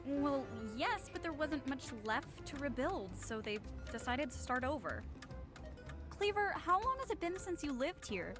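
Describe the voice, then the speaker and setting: A woman's voice: high-pitched, big pitch swings, a little slow, over music. A woman voicing a chipper, slightly anxious and prim female robot driver with dry sarcasm in a video game cutscene. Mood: matter-of-fact.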